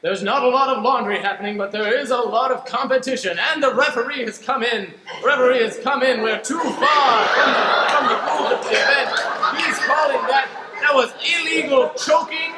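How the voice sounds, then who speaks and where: A man playing an excitable, mock-serious TV sports commentator, calling improvised play-by-play. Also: very high voice, normal speed, over a noisy crowd. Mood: breathless excitement. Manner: emphatic, mock-serious announcing. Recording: roomy, noisy live stage recording.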